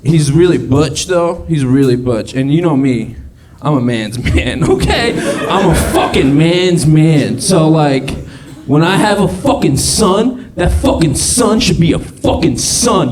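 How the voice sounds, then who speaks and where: A man doing a stand-up set on a comedy club stage. He is awkward and self-mocking, teases the audience and swears a lot. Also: on the high side. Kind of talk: stand-up set with crowd work. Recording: live club set with loud crowd. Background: audience laughter.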